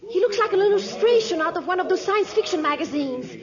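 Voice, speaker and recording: A very high voice as an excitable young woman, a planter's daughter. She talks breathlessly in old radio drama style, on a muffled recording with crowd noise behind. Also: fast. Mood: excited. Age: young adult. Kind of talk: 1950s radio drama acting.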